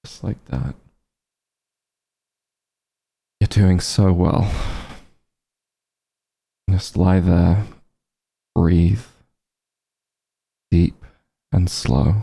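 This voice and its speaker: A man playing a calm, caring back-room doctor who gently scolds an old friend in a softly spoken ASMR roleplay, soothing with dry bluntness. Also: exaggerated voice. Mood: soothing, reassuring. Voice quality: low, gravelly, husky. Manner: soothing, unhurried bedside reassurance with dry bluntness.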